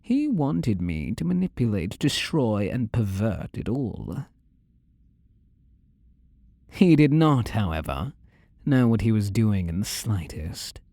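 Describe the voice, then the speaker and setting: Slow, seductive demon lover played by a man. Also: lowish voice, very animated, mellow, dry recording, studio quality, heavily acted. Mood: smug, wicked. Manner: slow, commanding, sinister purr, often dropping to whispers. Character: possessive, seductive male demon lover in a human body. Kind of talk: intimate audio roleplay addressed to the listener.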